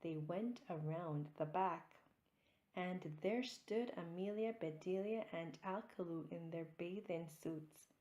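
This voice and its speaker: A woman narrates a children's storybook at a slow, careful pace. Her reading is deliberate and expressive. The room adds a noticeable echo around the voice. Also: lowish voice. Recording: roomy echo.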